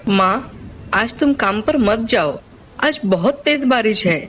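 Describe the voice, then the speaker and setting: A woman's voice: on the high side, lively intonation, takes it slowly, a bit bright, a little hiss or hum. A woman voicing an anxious, caring young boy, a protective big brother, in a dramatized moral story. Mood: pleading.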